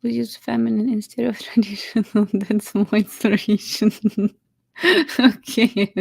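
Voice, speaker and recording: A woman's voice: mid-range voice, little pitch movement, slow, vocal fry, dark tone, husky. A woman from Ukraine with a Ukrainian accent, a matchmaker talking casually with many fillers, restarts and laughs. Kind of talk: casual chat.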